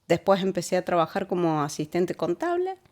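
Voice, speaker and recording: A woman in her forties with an Argentine Córdoba accent and a fairly low voice. She tells her story as a guest in an easygoing interview. She is halting, often repeats words and laughs easily. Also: very animated, a little mellow. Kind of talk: casual interview, telling her life story.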